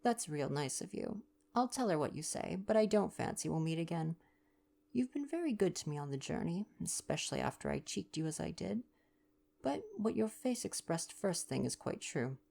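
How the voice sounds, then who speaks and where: A breezy young English woman from a storybook, as a male reader puts her on, speaking higher and with a little creak in the voice. She is cheeky and flippant, with brisk, slangy turns of phrase. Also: big pitch swings, a little mellow.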